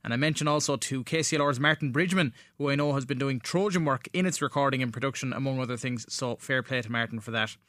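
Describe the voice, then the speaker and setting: A man's voice: medium pitch, fast, hardly any pauses, fairly bright tone, no echo. An Irish man presenting a radio show in a scripted style, linking segments from a studio.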